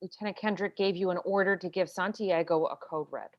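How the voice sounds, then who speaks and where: A woman's voice: on the low side, steady tone, a little fast, muffled recording, natural voice. A woman acting an exasperated, combative military defense lawyer in a stage play read-through, pressing hard with sarcastic questioning. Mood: frustrated.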